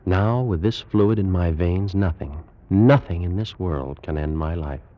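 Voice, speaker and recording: An actor playing a proud, fervent ancient Egyptian priest and alchemist in a grave, theatrical 1940s radio drama style. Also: male voice, deep voice, unhurried. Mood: solemn, assured. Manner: grave, formal, theatrical delivery. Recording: muffled old-time radio recording.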